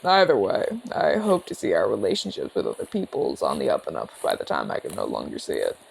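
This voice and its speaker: Man voicing a gravelly, genial orc ex-general. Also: deep voice, wildly swooping pitch, a little hiss or hum, exaggerated voice, poor audio. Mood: earnest, calm. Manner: courteous, measured, chatty. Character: genial retired orc general, male border commander, calm, inquisitive. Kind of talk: ASMR audio roleplay, speaking to the listener.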